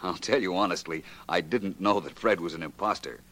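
Deep-voiced, self-assured radio detective. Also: male voice, brisk, a bit bright. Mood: confident explaining. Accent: American. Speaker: actor in a radio detective drama. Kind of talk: 1940s American radio drama acting. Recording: vintage 1940s radio recording.

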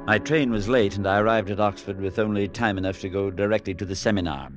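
An actor playing a concerned, resolute priest who narrates, earnest and firm, in a late 1940s radio drama. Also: male voice, on the low side, quick pace, lo-fi sound, close to an everyday voice. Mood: grave, measured. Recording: vintage radio broadcast with clear music.

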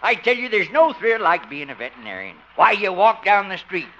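Vintage radio comedy with an excitable old country veterinarian. His voice is high and swoops freely. He rambles in a folksy, sentimental way, on a dull, noisy old recording. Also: fairly quick, full character voice. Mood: sentimental, rapturous. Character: excitable, proud old country horse doctor. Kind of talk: 1950s radio comedy acting.

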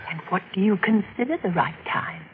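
An actress playing a cunning, passionate widowed murderess in an intense 1940s radio mystery. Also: female voice, mid-range voice, lively intonation, brisk, lightly acted. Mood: challenging. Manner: intense, melodramatic. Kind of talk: 1940s radio mystery acting, narrating and dialogue. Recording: muffled, noisy old radio broadcast.

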